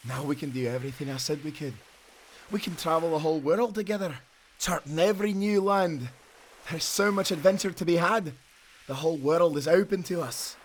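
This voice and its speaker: An actor playing a devoted, seasoned old sailor in an audio drama, speaking as an earnest storyteller with old seafaring turns of phrase. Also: male voice, fairly high pitch, a little fast, slight background noise, lightly acted. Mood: elated relief. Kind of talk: cinematic audio drama acting.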